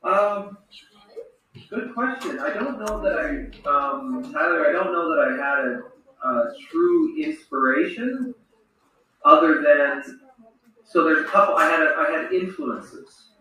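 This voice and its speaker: A middle-aged man who once played hockey answers children's questions in a slow, reflective way, often restarting his sentences. His voice has a bright, forward edge. He sits some distance from the mic, and the room gives his words a heavy echo. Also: high-pitched, sing-song. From Saskatchewan, Canada. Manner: reflective, speaks slowly with frequent restarts. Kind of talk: thoughtful Q&A with children. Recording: roomy, echoey sound from far off.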